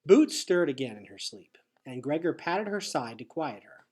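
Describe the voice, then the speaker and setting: A man with a husky voice reading a children's novel aloud as expressive storybook narration. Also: a little high, moderate pace. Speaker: teacher reading a chapter aloud.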